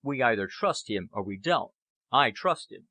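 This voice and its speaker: Man playing blunt, sturdy little leader, bright voice. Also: mid-range voice, lively intonation, moderate pace, no room sound. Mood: blunt, loyal. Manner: blunt, plain-spoken. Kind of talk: audiobook character acting.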